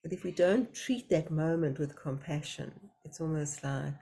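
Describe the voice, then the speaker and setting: Soft-spoken woman facilitating a discussion. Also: low-pitched, lively intonation, moderate pace, slightly rough. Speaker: facilitator of an online group discussion. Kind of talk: reflective group discussion. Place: video call.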